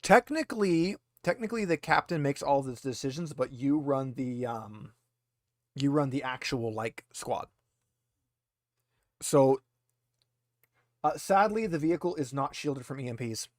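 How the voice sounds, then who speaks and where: A man narrating a tabletop roleplaying game as game master in a halting, conversational way. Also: mid-range voice, lively intonation, no room sound, clean studio sound.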